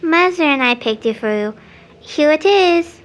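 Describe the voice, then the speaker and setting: A woman's voice: sits high, animated, unhurried. A female performer playing a sweet, eager little girl in an audio drama, earnest and childlike. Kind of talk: stage play audio drama acting.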